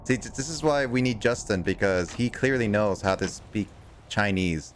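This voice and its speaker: Rambling low-voiced young man streaming a game. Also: moderate pace, slight background noise. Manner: rambling, self-mocking, stumbles over names. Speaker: streamer playing a video game. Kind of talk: casual livestream chat with viewers.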